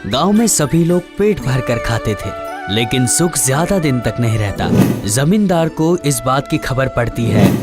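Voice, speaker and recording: A fairy-tale narrator with a mid-pitched, lively voice reads scripted story passages. Clear music plays underneath. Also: a little slow.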